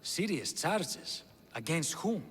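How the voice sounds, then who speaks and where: A man's voice: on the high side, very animated, takes it slowly, fairly bright tone, in a large hall, a little hiss or hum, heavily acted. An actor playing a haughty, sly, treacherous Spartan king in a video game. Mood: smooth, condescending. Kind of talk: video game dramatic acting.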